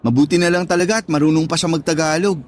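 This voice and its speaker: A man playing a high-voiced, affectionate young son. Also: steady tone, racing, natural voice. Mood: warm, eager. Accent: Filipino. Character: Jasper, affectionate, needy young grown son and big brother. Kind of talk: radio drama dialogue acting.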